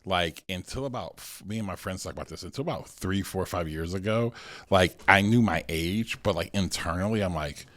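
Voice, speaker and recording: A man in his forties with a very deep voice talks loosely, circling back with restarts and filler as he thinks aloud. The sound is dry and close, with no room around him. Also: a little fast, a bit bright, no echo. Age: 40s. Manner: loose and rambling, many "like"s and restarts. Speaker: podcast co-host. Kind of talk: casual conversational chat.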